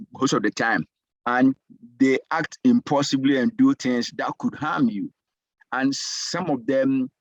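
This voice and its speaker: A man in his fifties from Ghana with a Ghanaian accent, a social worker giving an informal talk over a video call, halting and restarting often. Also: medium pitch, no room sound, lo-fi sound. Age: 50s. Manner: halting, many restarts and repeated words.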